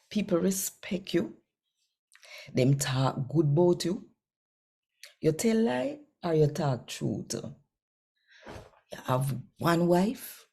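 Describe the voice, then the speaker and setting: Slow, husky Jamaican woman. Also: lowish voice. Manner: warm, folksy, conversational Creole phrasing. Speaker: scripture reader. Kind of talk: daily scripture reading and casual address.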